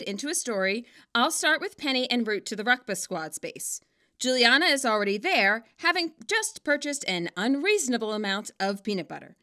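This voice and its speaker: A woman's voice: mid-range voice, bright, forceful tone. A woman giving scripted narration, moving between playful, wry commentary and storytelling. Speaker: fan fiction author and video narrator.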